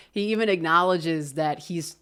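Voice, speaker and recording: A woman casually talking through an album review, loose and expressive, swearing and laughing often. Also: lowish voice, smooth. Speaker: music reviewer.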